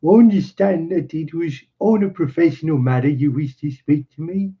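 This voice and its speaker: A man's voice: on the high side, normal speed, voice pushed up, close to an everyday voice. A man voicing a polite, earnest young male engineer from a storybook, speaking formally. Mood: polite, questioning. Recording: dull, muffled lo-fi recording.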